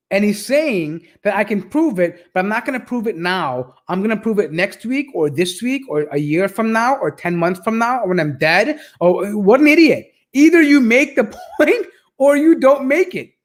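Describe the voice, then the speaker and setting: A man hosting a reaction video, commenting on a debate in a mocking, exasperated way. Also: very high voice.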